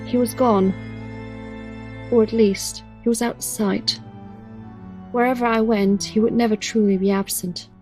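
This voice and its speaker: A woman's voice: a little high, fairly even intonation, measured pace, long pauses, a little mellow, soft background music, natural voice. A woman playing a resolute but conflicted young heroine in an audio drama, earnest and guarded.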